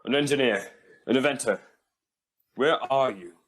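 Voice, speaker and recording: A man's voice: mid-range voice, moderate pace, lightly acted. A man playing a proud but dejected Victorian inventor in a comic dub, speaking formally and theatrically. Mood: bewildered. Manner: formal, theatrical, self-pitying.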